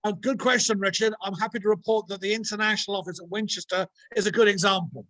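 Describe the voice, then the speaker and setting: An Englishman from a university's international office, giving a measured, emphatic presentation over a video call. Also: high-pitched, fairly even intonation, fairly bright tone, rough recording. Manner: measured, emphatic, list-like delivery. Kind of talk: online workshop presentation.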